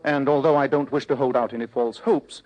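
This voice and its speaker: A man playing a keen, self-assured English gentleman detective in an old-time radio drama, speaking formally and with precise authority. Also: mid-range voice, steady tone, a little fast, muffled recording, lightly acted. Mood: courteous, reassuring.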